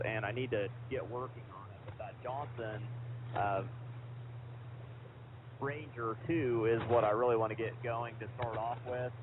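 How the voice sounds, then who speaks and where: A man chats in an easygoing, informal way as a ham radio operator. His voice comes over a shortwave transmission, squeezed into a phone-like band with clear static noise. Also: medium pitch. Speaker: amateur radio operator, fairly new to the hobby. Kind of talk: casual ham radio chat.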